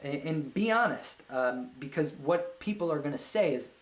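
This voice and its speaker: A man with a slightly high voice talks through business ideas as the host of a read-along. His delivery is casual and rambling, as if working it out aloud, and he checks in with the listener. The recording is distinctly roomy and echoey. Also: animated, normal speed. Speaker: host of a business book read-along show, web agency owner. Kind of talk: casual explanatory talk with read-out book passages.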